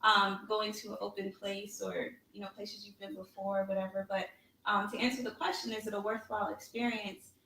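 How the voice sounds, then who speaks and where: A woman's voice: mid-range voice, flat delivery, fairly quick, sharp tone, roomy sound, distant mic, slight background noise, low-quality recording. A woman guest on a podcast, chatting conversationally and often checking in with "right?". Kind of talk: casual podcast discussion.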